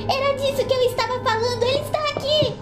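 A woman's voice: extremely high pitch, fairly even intonation, fast. A woman playing a frightened but brave little girl in a Brazilian video game fan dub, childlike and pleading. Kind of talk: dramatic video game dubbing. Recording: echoey, loud music underneath. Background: game music.

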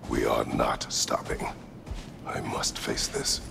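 Extremely deep, gravelly warrior god. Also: male voice, completely flat delivery, over music, heavily acted. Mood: grim resolve. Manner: terse, solemn, deliberate. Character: stern, brooding middle-aged male warrior god. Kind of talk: video game dramatic acting. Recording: game audio with rumbling noise.

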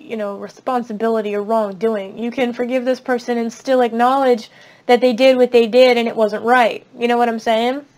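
A young woman giving a casual tarot reading at a table, chatty and reassuring, her voice slightly raspy. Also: fairly high pitch. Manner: chatty, reassuring, many fillers. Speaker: tarot reader. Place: indoors at a table.

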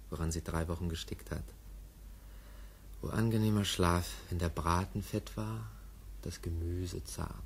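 Male interlude reader, deep voice, soft ironic delivery, 1950s radio. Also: husky. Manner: soft, ironic, drowsily complacent. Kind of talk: scripted poetic narration in a 1950s radio play.

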